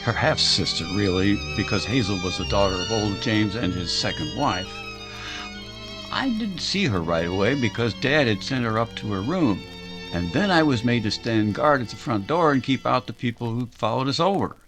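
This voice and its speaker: An actor playing a reflective narrator telling a recollection in old-time radio style. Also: male voice, mid-range voice, very animated, moderate pace, natural voice. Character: reflective male narrator recalling a town tragedy. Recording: music behind the voice. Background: music.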